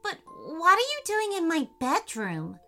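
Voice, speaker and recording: A woman's voice: very high voice, huge pitch swings, a little slow, pushed and bright, faint music behind, voice pushed up, heavily acted. A woman voicing a timid, curious little boy in a children's bedtime story, tentative and earnest.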